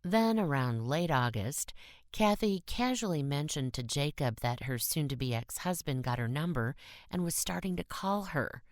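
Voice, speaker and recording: A woman's voice: low voice, animated, unhurried, dry recording, studio quality. A woman reading a short story as an audiobook narrator in a scripted style.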